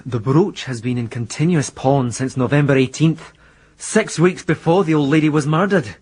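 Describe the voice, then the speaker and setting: A conscientious sergeant in a radio crime drama, deferential yet stubbornly doubtful. His voice is slightly high and husky. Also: moderate pace, darkish tone. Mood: uneasy, questioning. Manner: deferential but persistent. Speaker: actor.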